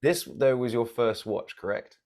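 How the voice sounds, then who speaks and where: A man co-hosting a casual movie podcast, chatty and quick to laugh, tossing in jokey asides. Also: mid-range voice, a little mellow. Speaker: podcast co-host.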